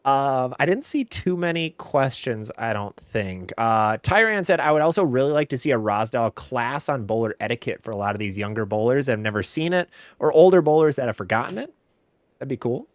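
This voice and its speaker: A young adult man hosting a casual live chat. He reads viewer questions aloud with quick asides and laughs often. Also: mid-range voice, lively intonation, no room sound, studio quality. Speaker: host.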